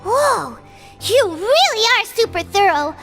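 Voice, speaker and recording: A woman's voice: very animated, takes it slowly, creaky, a bit bright, long reverb tail, exaggerated voice. A woman voicing a tiny, chatty fairy-like girl companion who talks about herself in the third person, in a video game. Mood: excited. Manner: speaks of herself in third person, high and bubbly. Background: game music.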